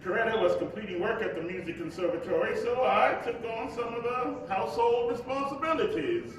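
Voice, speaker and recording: A man acting a playful, devoted young husband in a staged play reading, with a halting, storytelling delivery. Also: extremely high pitch. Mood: wry, storytelling. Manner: halting, repeats words, chuckling delivery. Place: large echoey room, live staged reading. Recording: very echoey live hall, distant mic, faint noise.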